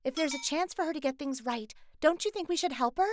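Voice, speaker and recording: Kind young girlfriend, fairly high voice, acted. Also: fast talker, natural voice. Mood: concerned, caring. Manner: concerned, gently earnest. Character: kind, caring young girlfriend, gentle-natured. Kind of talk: dubbed manga story acting. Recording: voice over background music.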